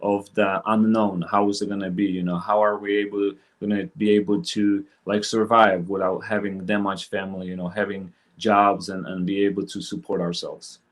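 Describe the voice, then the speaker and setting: A man's voice: low voice, level tone, moderate pace, hardly any pauses. A middle-aged man from Poland, casually recollecting as an interview guest. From Poland, later Chicago from age twelve. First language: Polish. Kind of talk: casual personal recollection in conversation.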